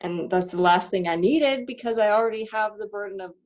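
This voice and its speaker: A woman, a parent, speaking candidly and haltingly about her frustrations in a reflective self-inquiry session. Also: mid-range voice, lo-fi sound. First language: not German. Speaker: parent doing the worksheet.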